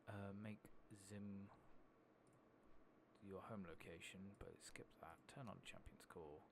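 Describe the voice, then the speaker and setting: A man doing soft-spoken ASMR commentary over a game, casually rambling and thinking aloud with the odd swear. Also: on the low side, steady tone, takes it slowly, noisy background. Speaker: gaming streamer.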